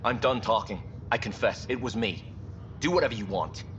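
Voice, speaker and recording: A resentful, defiant male worker on a space station , played by an actor in a sci-fi game. His voice is fairly low, slightly dulled, over clear background noise. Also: moderate pace, a bit bright, highs cut off, natural voice. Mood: bitter, defiant. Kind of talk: video game voice acting.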